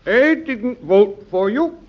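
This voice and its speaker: High-voiced scolding, suspicious passerby. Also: male voice, expressive, slow pace. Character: stubborn, scolding passerby, working man, suspicious and blunt. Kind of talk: old-time radio comedy acting. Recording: dull lo-fi old radio recording.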